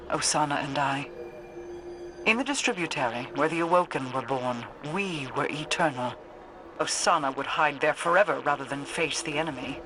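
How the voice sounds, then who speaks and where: A woman's voice: low voice, noisy background. An actress playing a regal, aloof queen in a video game, speaking formally with cool, composed, dismissive authority. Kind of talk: scripted game dialogue, exposition.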